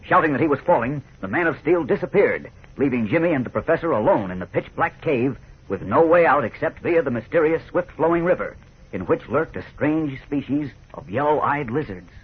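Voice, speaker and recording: A man's voice: fairly high pitch, expressive, brisk, breathy edge. A man narrating a 1940s radio serial as its announcer, in dramatic style. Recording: tinny, narrow 1940s radio recording.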